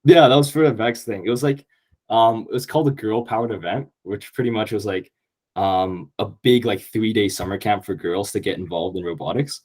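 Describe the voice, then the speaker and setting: A man's voice: on the low side, brisk, a little mellow. A young man from Canada, talking in an eager, upbeat way on a podcast, with many fillers. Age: young adult. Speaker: podcast guest, incoming university student. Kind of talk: casual podcast conversation.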